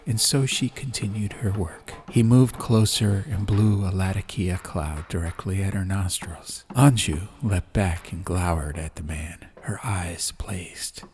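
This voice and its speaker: Low-voiced male storybook narrator. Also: a little slow, few pauses, darkish tone, slight background noise. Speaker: audiobook narrator. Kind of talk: atmospheric scripted fantasy narration. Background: music.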